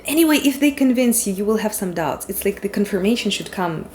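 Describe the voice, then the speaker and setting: A woman's voice: medium pitch, lively intonation, a little fast, a little mellow, a little hiss or hum. Ukrainian-accented woman doing a tarot reading. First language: Ukrainian or Russian. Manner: rambling, frank, swears casually. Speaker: tarot reader. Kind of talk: casual collective tarot reading.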